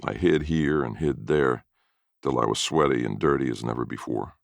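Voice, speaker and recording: A man's voice: very deep voice, normal speed, vocal fry, no room sound, clean studio sound. A man reading a first-person story as narrator in a folksy storytelling manner.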